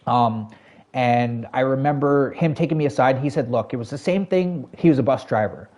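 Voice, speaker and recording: Mellow-voiced Canadian man rambling to chat. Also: medium pitch, moderate pace. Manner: rambling, lots of fillers and self-corrections, talks to chat. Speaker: game streamer. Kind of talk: casual storytelling to a live chat.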